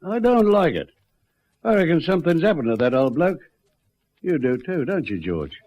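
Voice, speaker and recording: Animated, stubborn rural Australian. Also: male voice, fairly high pitch, moderate pace. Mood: worried, insistent. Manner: drawling, ungrammatical, insistent. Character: worried, stubborn country bushman father. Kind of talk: radio drama acting. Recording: muffled old radio recording.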